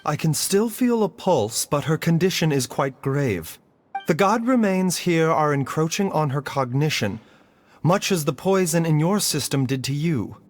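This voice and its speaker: An actor playing a composed, gentle male doctor in a video game, speaking formally and deliberately with grave seriousness. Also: fairly high pitch, lively intonation, soft background music.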